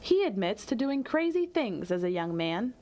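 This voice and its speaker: A woman reporter reading a scripted voice-over narration in a clear, even manner. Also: mid-range voice, normal speed.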